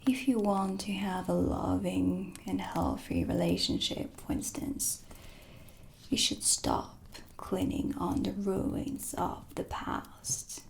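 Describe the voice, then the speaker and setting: A woman playing a mysterious, haughty dark witch who reads tarot, speaking in a deliberate, theatrical whisper for a cinematic ASMR roleplay. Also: medium pitch, little pitch movement, slight background noise, low-quality recording. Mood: stern, guiding. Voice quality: whispery, creaky. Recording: music under the whispered voice. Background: dark ambient music.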